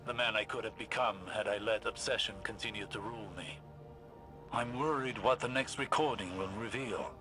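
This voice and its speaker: An actor playing a grave, cautious scholarly mentor in a video game drama, speaking in a deliberate, formal, thoughtful manner. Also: male voice, fairly low pitch, natural voice. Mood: troubled. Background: music.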